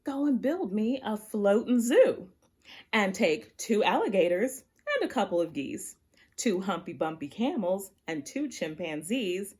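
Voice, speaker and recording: A woman's voice: mid-range voice, big pitch swings, measured pace, a little mellow, husky. A woman voicing a folksy, commanding Lord God in a storybook poem read aloud.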